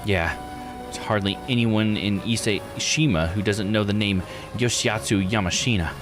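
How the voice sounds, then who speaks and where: A man's voice: on the low side, moderate pace, music in the background, lightly acted. A man voicing a resentful, haughty young woman, a scorned noble-born island diver, reading visual novel dialogue bluntly. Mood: bitter, indignant. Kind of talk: visual novel character read-aloud.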